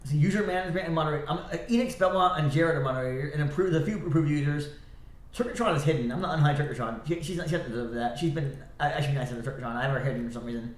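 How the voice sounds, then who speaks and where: A man talks fast and loose into his stream, stopping and restarting his sentences as his thoughts wander. His voice sits a bit high and sounds a little stuffy and scratchy. The room around him is echoey. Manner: rambling, halting, many restarts and self-corrections. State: stuffy nose, slightly scratchy throat. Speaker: livestreamer. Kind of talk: rambling solo livestream chat.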